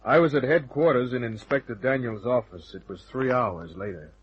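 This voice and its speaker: An actor playing a tough, sardonic hardboiled private detective in a radio drama, with clipped narration and dialogue. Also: male voice, medium pitch, moderate pace, muffled recording, music in the background, natural voice. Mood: wry, narrating.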